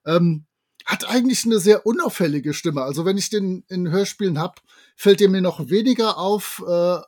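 Chatty man with a higher-pitched voice. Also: normal speed, a little mellow. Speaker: podcast co-host. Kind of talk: casual fan podcast chat about audio dramas.

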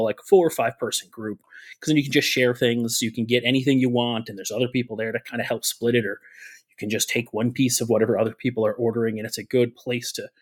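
Halting man sharing a personal story. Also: medium pitch. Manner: halting, many restarts and fillers. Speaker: podcast co-host. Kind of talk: casual chat.

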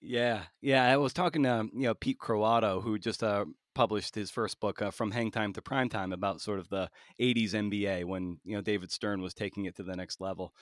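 A man hosting a podcast interview who asks thoughtful questions, often halting and restarting as he speaks. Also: fairly low pitch, steady tone, dry recording, studio quality. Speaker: podcast host. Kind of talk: conversational interview.

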